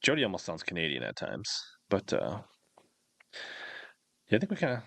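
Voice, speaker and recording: A low-voiced man works as a livestream host, talking in a loose, rambling way. His questions come out conversationally, in a close, dry sound with no room on it. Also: huge pitch swings, moderate pace, gravelly, fairly bright tone, dry recording. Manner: rambling, reads out viewer questions. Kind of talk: casual live-stream interview chat.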